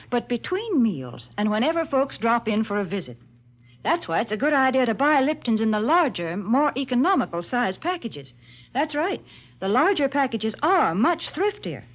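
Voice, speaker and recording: A woman playing a cheery, prim sponsor's spokeswoman, giving chatty, polished 1940s radio ad patter. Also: a little high. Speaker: commercial spokeswoman. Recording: dull lo-fi vintage radio recording.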